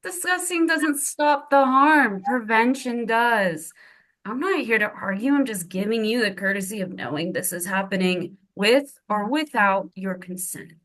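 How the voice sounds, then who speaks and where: A woman's voice: fairly high pitch, measured pace, a bit bright, poor audio. Cold, pointed woman official played by a woman. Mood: stern. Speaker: actor in a table read. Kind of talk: dramatic script table read.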